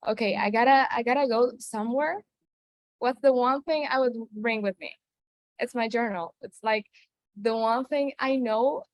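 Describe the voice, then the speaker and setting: A woman's voice: mid-range voice, no echo. Earnest Venezuelan woman, very dry sound. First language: Spanish. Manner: earnest, searching for words with many restarts. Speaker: storyteller guest. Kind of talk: personal show-and-tell story on a video call. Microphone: video call.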